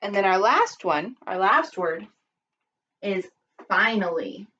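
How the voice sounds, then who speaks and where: A woman's voice: mid-range voice, animated, slow pace, pushed and bright, husky, muffled recording. A woman primary school teacher speaking expressively and instructively to young children in simple phrasing, as in a read-aloud lesson.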